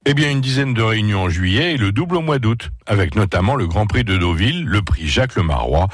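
Male field reporter, deep voice, short friendly questions. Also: expressive, quick pace, no room sound, studio quality. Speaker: radio reporter.

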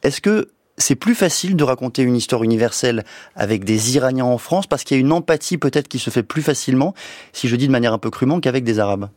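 A man interviews guests on the radio with careful, articulate questions. His voice is mid-pitched for a man, in a very dry studio recording. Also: expressive, quick pace, darkish tone, dry recording.

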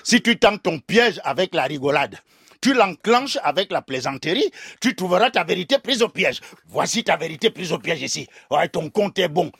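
An older man spins a comic tale for a radio host. His voice sits high and leaps all over its range with theatrical flair. The sound is close and dry. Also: normal speed, creaky, slightly rough, dry recording. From Cameroon. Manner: theatrical, animated, addresses the host directly. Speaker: guest storyteller. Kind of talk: comic oral storytelling.

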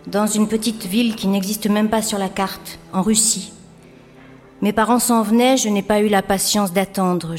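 A woman reading a first-person literary text in an even, recounting manner. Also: mid-range voice. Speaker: reader.

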